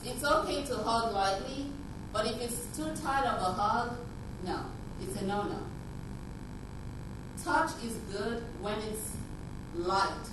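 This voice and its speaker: A woman's voice: fairly high pitch, far from the mic, noisy background. Earnest woman from Nigeria in an echoey room. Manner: earnest, urging, repeats key phrases. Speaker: speaker. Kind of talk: public talk. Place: conference room. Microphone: handheld mic.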